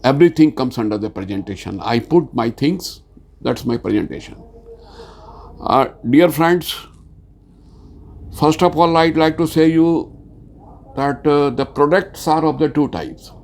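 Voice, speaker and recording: A man's voice: on the high side, vocal fry, darkish tone. A man from Bihar, India, with a Hindi-accented Indian English, giving an insurance training presentation, hesitant with many fillers and rising to stress key points. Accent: Indian English, Hindi-speaking. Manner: slow, hesitant delivery with frequent uh fillers.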